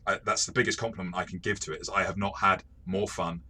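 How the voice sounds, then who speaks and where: Low-pitched man with a bright, forceful voice. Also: steady tone, slight background noise. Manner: halting, repeats words, often chuckles while talking. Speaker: host, game master reviewing tabletop RPGs. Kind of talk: casual review discussion.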